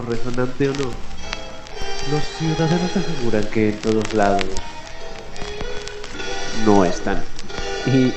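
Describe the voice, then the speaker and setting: Echoey solemn radio mystery newscaster guy acting it, slow dramatic pauses, music playing under him. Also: mid-range voice, natural voice. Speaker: radio host. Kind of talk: scripted mock radio news narration.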